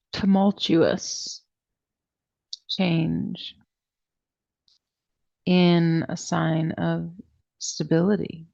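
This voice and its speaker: A woman teaching a guided meditation class, speaking in a soothing, deliberate way with long pauses and a soft-edged, creaky voice. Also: on the low side, lo-fi sound. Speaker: yoga nidra meditation teacher.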